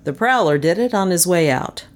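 A woman voicing a cool, unflappable male private detective in a mystery story, wry and deadpan. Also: on the low side, moderate pace, voice pushed up, lightly acted. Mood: matter-of-fact.

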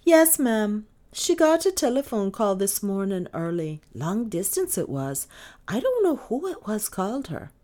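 A woman's voice: medium pitch, sing-song, a little slow, mellow, voice pushed up. A woman voicing a superstitious, gloomy, stubborn old Irish maidservant with a dramatic, insistent delivery in an audiobook. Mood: prim, insistent. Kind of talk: audiobook character dialogue.

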